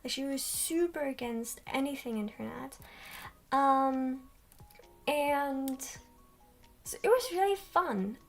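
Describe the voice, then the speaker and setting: A young woman chats with her stream in a soft, quiet, high voice. She talks slowly and rambles, filling pauses with ums. She sits some distance from the microphone. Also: soft background music. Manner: slow, rambling, many ums. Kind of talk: soft-spoken livestream chat. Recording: distant from mic.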